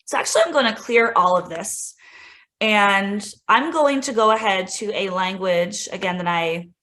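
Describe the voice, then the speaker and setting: A woman coach demos software for teachers in a loose, chatty way, her mid-range voice bouncing with enthusiasm. She fills her talk with "like" and "right?" as she clicks through, friendly and informal. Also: mid-range voice, moderate pace. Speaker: instructional coach presenting a tech demo. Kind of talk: casual screen-share tutorial.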